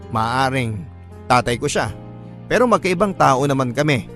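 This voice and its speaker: A man voices a pensive young son in a radio drama. He speaks in a mid-pitched voice, turning questions over as he narrates his own thoughts. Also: natural voice. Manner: introspective, questioning. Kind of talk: first-person radio drama narration in Filipino.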